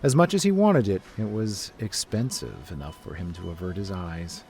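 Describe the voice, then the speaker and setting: Male story narrator, scripted read. Fairly low voice with animated intonation over light music. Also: moderate pace.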